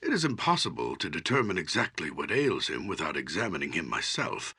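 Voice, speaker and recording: An actor playing a grave old archdruid in a fantasy video game, speaking solemnly and deliberately with a gravelly, husky voice. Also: male voice, a bass voice, wildly swooping pitch, bright, forceful tone, full character voice. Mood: concerned, inquiring. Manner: solemn, deliberate, portentous storytelling.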